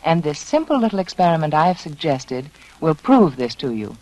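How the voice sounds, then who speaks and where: A woman spokesperson reading a scripted 1940s radio commercial in a deliberate, instructive way. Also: sits low, very animated, a little mellow, rough recording. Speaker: advertising spokeswoman. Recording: vintage 1940s radio broadcast.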